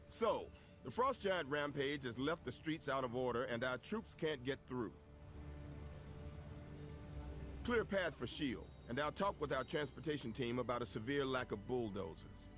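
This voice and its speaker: A man's voice: mid-range voice, expressive, normal speed, over music, close to an everyday voice. A man playing a commanding spy agency director in a video game cutscene, clipped, authoritative and sardonic. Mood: brisk, sardonic.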